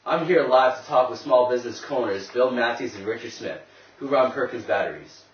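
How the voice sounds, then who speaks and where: A man playing a brisk 1930s radio field reporter in a scripted live report. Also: mid-range voice, lightly acted. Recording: echoey, distant, dull, noisy with clicks.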